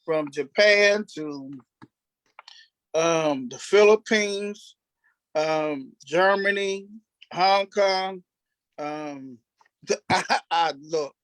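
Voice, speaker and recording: High-voiced man reminiscing, close dry sound. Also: very slow, pauses often, a bit bright, clean tone, no room sound. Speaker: cast member being interviewed, military veteran. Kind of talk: casual reminiscing.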